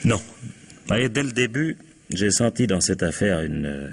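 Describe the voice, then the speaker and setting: An actor playing a sardonic, domineering police inspector in a vintage radio mystery, interrogating with irony and cutting others short. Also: male voice, fairly low pitch, big pitch swings, measured pace, slight background noise. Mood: commanding, ironic.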